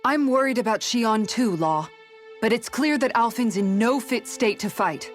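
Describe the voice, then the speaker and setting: A woman's voice: a little high, expressive, normal speed, close to an everyday voice. An actress playing a caring, level-headed female warrior in a video game, speaking with firm reassurance. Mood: firm, concerned. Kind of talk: dramatic video game voice acting. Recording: clear background music.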